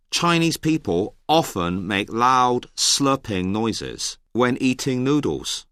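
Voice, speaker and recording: A man with a Hong Kong accent, explaining things in a slow and measured way in a scripted coursebook monologue. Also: medium pitch, a bit bright, clean tone, no echo. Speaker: speaker in a coursebook listening. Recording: dry studio recording.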